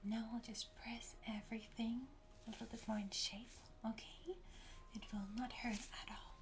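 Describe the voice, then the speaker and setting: A woman doing an ASMR roleplay as a pet groomer, whispering softly and breathily in a gentle, reassuring, encouraging manner. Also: fairly high pitch, flat delivery, normal speed, slight background noise.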